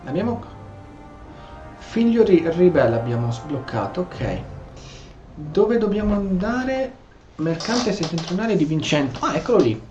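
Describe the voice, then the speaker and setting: A man's voice: sits high, sing-song, normal speed, darkish tone. A man giving casual gameplay commentary, stumbling over and repeating half-said words. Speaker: gameplay streamer. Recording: roomy, muffled mic over game music.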